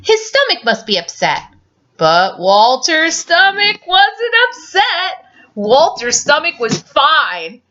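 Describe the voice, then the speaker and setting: A woman reading a story aloud informally, with chatty asides and an animated, giggly manner. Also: high voice, slow pace, highs cut off. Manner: animated, giggly, easily cracked up.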